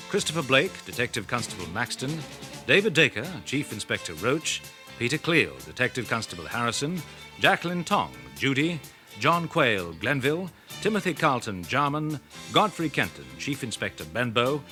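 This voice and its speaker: A man's voice: mid-range voice, sing-song, normal speed, bright, forceful tone. A man reading scripted closing credits as a radio announcer. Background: music.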